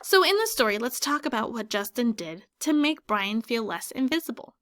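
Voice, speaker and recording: A woman teacher reads a picture book aloud to children, stopping often to ask them what they notice. Her voice sits on the higher side and rises and falls with animated, sing-song expression. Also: normal speed. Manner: warm, questioning teacher voice that prompts listeners.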